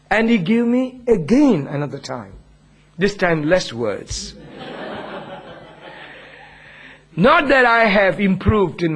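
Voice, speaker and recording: Husky preacher with an Indian accent. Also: male voice, on the high side, a bit bright. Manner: humble, earnest, chuckles often. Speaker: pastor. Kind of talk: sermon, personal testimony.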